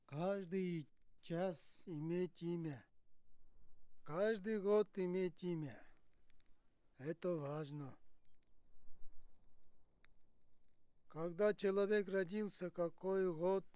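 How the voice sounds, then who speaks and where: An actor playing a calm Tuvan herder and captive, speaking Russian with a Tuvan accent in sparse, broken phrases of folk wisdom. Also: male voice, sits high, slow, smooth, dry recording. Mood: calm, instructive. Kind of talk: naturalistic film acting.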